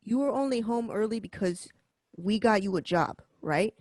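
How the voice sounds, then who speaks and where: A woman's voice: medium pitch, expressive, moderate pace, a little mellow, no room sound, clean studio sound, close to an everyday voice. A woman playing a stern, wary mob boss in an amateur radio drama read, blunt and profane, repeating points for emphasis. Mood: threatening.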